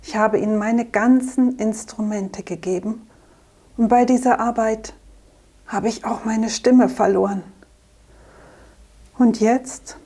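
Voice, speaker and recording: Hoarse wise singer, played by a woman: whispery, slow, mellow. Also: fairly high pitch, fairly even intonation. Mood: sad. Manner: quiet, whispery and sad. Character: wise traveling singer who lost her voice. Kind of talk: amateur church nativity play.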